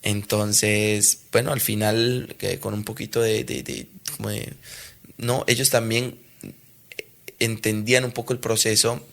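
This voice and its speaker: A man's voice: on the low side, measured pace, vocal fry. A young Colombian man with a Colombian Spanish accent, a footballer being interviewed, talking casually and hesitantly with many restarts. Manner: hesitant, restarts often, animated when telling anecdotes. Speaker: professional footballer guest.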